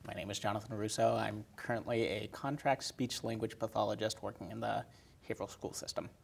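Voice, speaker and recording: A man chatting casually as a podcast guest in a halting way, often saying "like" and correcting himself. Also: lowish voice, fairly even intonation, gravelly. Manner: halting, many "like"s and self-corrections. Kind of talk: casual chat.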